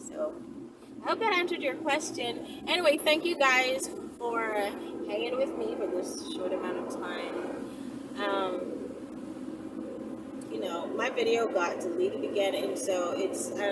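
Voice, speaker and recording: A woman chatting casually and hesitantly as a video host, circling back and repeating herself as she answers questions. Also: on the high side. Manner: hesitant, repeats and circles back, often ends points with "right?". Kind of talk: casual rambling chat to camera. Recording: distant mic, loud vehicle and road noise.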